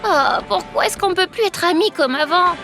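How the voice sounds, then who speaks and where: A woman voicing an eager, dramatic young girl in a children's cartoon, with a girlish, very expressive delivery. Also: normal speed, loud music behind the voice. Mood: indignant, hurt. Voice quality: high-pitched, girlish. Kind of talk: French-dubbed children's cartoon acting.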